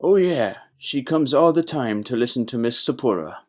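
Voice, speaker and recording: A man's voice: mid-range voice, expressive, normal speed, lightly acted. A man playing a naive, gossipy young bartender in a noir audio play, earnest and emphatic.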